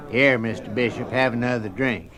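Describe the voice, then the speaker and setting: An actor playing a wry, dismissive boat captain in a 1970s radio drama. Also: male voice, medium pitch, normal speed, over music, lightly acted. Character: gruff skeptical boat captain, middle-aged man.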